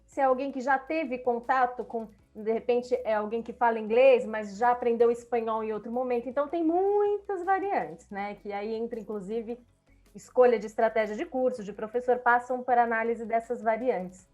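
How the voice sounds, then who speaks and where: Enthusiastic Brazilian woman, slightly high. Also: normal speed, a little mellow, dull audio, soft background music. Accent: Brazilian Portuguese. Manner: reflective, enthusiastic, repeats herself. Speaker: language school speaker. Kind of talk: panel talk.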